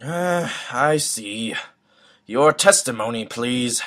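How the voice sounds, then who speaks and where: A man playing a pompous old courtroom judge in an amateur fan dub of a game, formal and ponderous, with a husky voice. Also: mid-range voice, animated, takes it slowly, a bit bright. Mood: skeptical. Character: pompous, deliberating old male courtroom judge, easily swayed.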